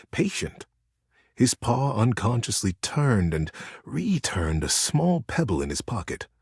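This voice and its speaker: A man narrating a storybook tale in a dramatic, earnest and expressive way. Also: on the low side, husky, no echo, studio quality.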